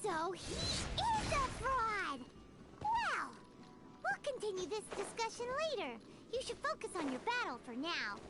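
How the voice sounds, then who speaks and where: A woman voicing a tiny, excitable, childlike fairy companion in a video game, with a squeaky, chirpy manner. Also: unhurried, over loud music, full character voice. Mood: curious, excitable. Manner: speaks of self in third person, squeaky.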